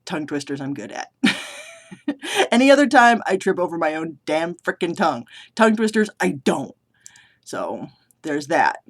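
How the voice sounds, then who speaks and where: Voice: mid-range voice, very animated, measured pace, pauses often, husky. A vlogger from New England chatting casually to the camera, rambling and self-deprecating with many restarts. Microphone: camera mic.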